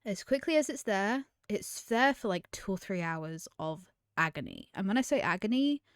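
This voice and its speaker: A woman's voice: medium pitch, sing-song, moderate pace, no room sound, studio quality. A woman, chatting candidly to camera as a streamer, often trailing off and restarting. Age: 20s. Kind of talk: casual chat to camera.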